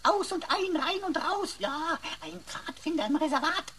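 Sammy, high cheeky computer sidekick. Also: female voice, unhurried, a bit bright, recorded from a distance, exaggerated voice. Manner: mock-dramatic, chattering, declaiming. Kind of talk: German radio play acting.

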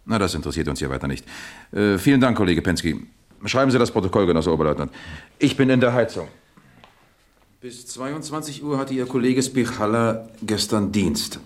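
Guy acting as radio drama police detective, deep voice, pitch moves around a lot, nosy clipped questions. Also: expressive, fairly quick, close to an everyday voice. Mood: brisk questioning. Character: probing, matter-of-fact male police detective.

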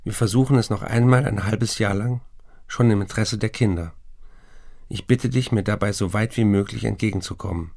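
A man's voice: lowish voice, brisk, creaky, a little mellow, dry recording, clean studio sound. An actor playing an estranged writer husband reading his letter in a radio drama, formal, clipped and matter-of-fact. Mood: sober, restrained. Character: alcoholic writer husband in an asylum, terse, conciliatory.

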